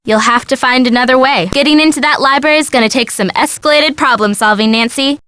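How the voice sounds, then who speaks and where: A woman's voice: high-pitched, quick pace, a bit bright, clean tone, dry recording, clean studio sound. A woman voicing a perky, teasing young sidekick in a detective video game. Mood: playful teasing. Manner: bubbly, teasing, playful.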